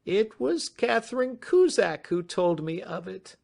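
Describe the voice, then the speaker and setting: A man voicing a nervous, frightened little man in an audiobook, pleading in a thin, high, quivering voice. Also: very animated, a little slow, gravelly, fairly bright tone, full character voice. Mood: anxious. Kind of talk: audiobook reading.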